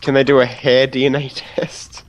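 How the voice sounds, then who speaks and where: A man's voice: medium pitch, level tone, moderate pace, lo-fi sound, a crowd in the background. A man chatting casually as a player in a tabletop game.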